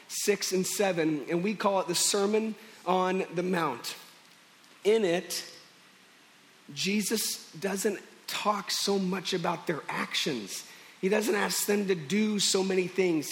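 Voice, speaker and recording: Hoarse young guy pastor, higher voice, joking around in a casual sermon. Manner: chatty, joking, with fillers and restarts. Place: church service.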